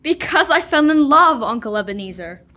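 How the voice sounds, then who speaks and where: A cheerful, good-hearted young nephew in a radio play, acted by a young woman. The voice is high and swings in lively, earnest appeals. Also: moderate pace, heavily acted. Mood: pleading. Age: teenager or young adult. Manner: earnest, pleading. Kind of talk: live old-time radio drama reading.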